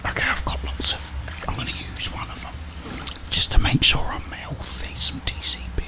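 A middle-aged British man whispering ASMR game commentary in short murmured reactions, close to the microphone. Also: normal speed. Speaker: gaming streamer.

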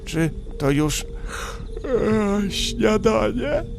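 A man voicing a gentle, absent-minded troll mother in a storybook, in a soft, motherly manner. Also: high voice, lively intonation, extremely slow, vocal fry, over music, pitched above the performer's own voice, heavily acted. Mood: sleepy, puzzled.

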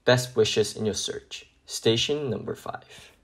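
A man's voice: medium pitch, takes it slowly. A man voicing a polite, formal fire station official in a children's picture book, businesslike in manner. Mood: formal, dismissive.

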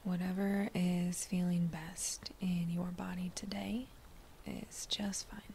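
A woman guides a meditation in a soft, hushed, breathy voice that sits fairly low. She speaks very slowly, in short gentle phrases with pauses between them. Faint ambient noise lies behind her. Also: little pitch movement. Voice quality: breathy, hushed, near-whispered. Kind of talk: slow guided meditation narration.

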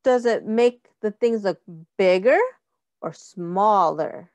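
A middle-aged woman reading a picture book aloud to young children, with simple, deliberate phrasing and questions to the listeners. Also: mid-range voice, darkish tone, dry recording.

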